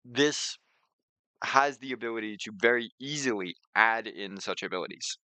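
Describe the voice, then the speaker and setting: A man giving an informal screen-share software tutorial, talking conversationally and repeating words for emphasis. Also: medium pitch, moderate pace, dry recording.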